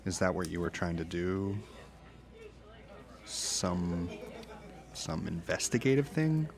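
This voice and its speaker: Shaken witness telling an eerie story. Deep, unhurried, halting, naturalistic audio-drama read. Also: male voice, sing-song, darkish tone, exaggerated voice. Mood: guarded, curious. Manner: halting, deliberate, uneasy. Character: haunted married truck driver recounting eerie encounters. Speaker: podcast guest, witness.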